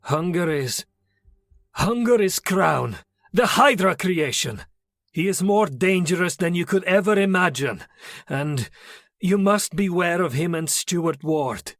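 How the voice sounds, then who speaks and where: A man voicing a tormented vampire who warns in a halting, urgent way, in a fan comic dub. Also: high voice, animated, a bit bright, no room sound, studio quality, heavily acted. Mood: anguished. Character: weak tormented male vampire, cured before.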